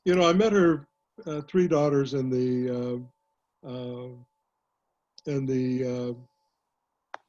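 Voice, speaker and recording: An older man, a historian and author, answering questions in a panel talk, measured and full of pauses. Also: mid-range voice, fairly even intonation, darkish tone, highs cut off. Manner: measured, many "uh" pauses and restarts. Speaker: author and historian, guest speaker.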